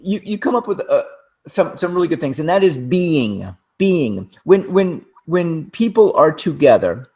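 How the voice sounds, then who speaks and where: Older man, halting and thoughtful. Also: fairly high pitch, big pitch swings, a little mellow. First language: English. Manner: halting, many restarts and repeated words. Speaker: co-host. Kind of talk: casual chat.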